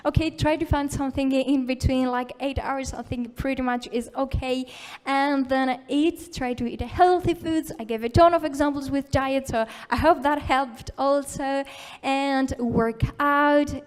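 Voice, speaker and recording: A woman's voice: high voice, fairly even intonation, measured pace. A woman speaking at a tech conference, encouraging and informal, with plenty of "like" and "uh" fillers. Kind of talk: live conference talk. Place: conference hall.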